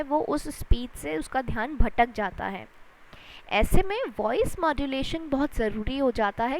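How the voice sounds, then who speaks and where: Indian lady teacher, high voice, explaining a lesson, repeats stuff and stresses key words. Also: low-quality recording. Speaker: English lecturer. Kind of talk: explanatory lesson switching between Hindi and English.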